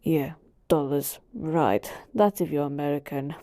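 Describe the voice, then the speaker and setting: A woman's voice: low-pitched, measured pace, warm tone, dry recording. A woman voicing an ancient, all-powerful genie who talks casually and teasingly to a master in a roleplay monologue. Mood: casual, wry. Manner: casual, teasing, conversational asides. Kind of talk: in-character roleplay monologue to the listener.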